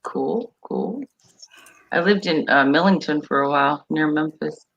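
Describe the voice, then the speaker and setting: A woman's voice: low-pitched, a little slow, vocal fry, fairly bright tone, dull audio. A middle-aged woman hosting a casual livestream chat over a video call, a chatty storyteller who laughs easily. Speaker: livestream host.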